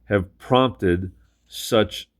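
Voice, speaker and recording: A man voicing a huge octopus monster that speaks in a soft, eerily polite, formal way. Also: on the low side, extremely slow. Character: roaring octopus monster, then oddly polite.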